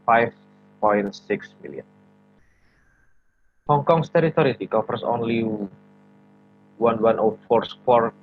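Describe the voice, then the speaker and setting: A young man with an Indonesian accent reading a class presentation, halting and stumbling over words, asking aloud how to read some of them. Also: medium pitch. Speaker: student presenter. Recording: dull lo-fi audio with faint music.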